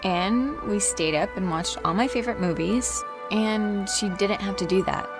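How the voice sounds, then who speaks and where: A woman acting as a laid-back but apologetic American teenage girl. She speaks in a mid-pitched voice, haltingly, correcting herself as she goes. Game music plays clearly behind her natural, conversational delivery. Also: close to an everyday voice. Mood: apologetic, wistful. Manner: hesitant, trailing off, self-correcting. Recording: game soundtrack music under the voice.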